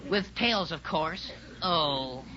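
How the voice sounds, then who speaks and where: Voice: medium pitch, expressive, extremely slow, pushed and bright, exaggerated voice. A performer playing a young boy who tosses out dry, mocking quips in 1940s radio comedy. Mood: sarcastic, teasing. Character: wisecracking, sarcastic young boy. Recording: muffled, faintly noisy 1940s radio recording.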